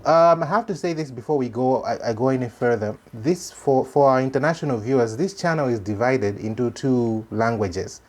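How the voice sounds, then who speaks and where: A man from Malawi with a Malawian accent, a native Chichewa speaker, hosting an informal religious commentary in an earnest, halting way that repeats phrases for emphasis. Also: medium pitch, darkish tone. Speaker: host of a religious commentary channel.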